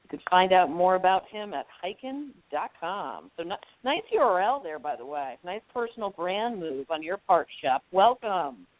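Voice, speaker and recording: A woman's voice: lowish voice, lively intonation, moderate pace, hardly any pauses, dry recording. A woman co-hosting a radio talk show, playful and teasing as she introduces and questions her guest. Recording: dry, tinny phone line.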